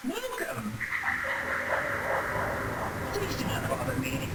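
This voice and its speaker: A woman voicing a busy, excitable nonhuman caretaker with formal, precise, dramatic wording in a game dub. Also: a little high, slow, gravelly, heavy background noise, exaggerated voice. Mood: curt, busy. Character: busy, fussy, excitable nonhuman caretaker entity of a memory space. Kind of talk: game fan dub character acting. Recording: echoey, distant, loud music behind.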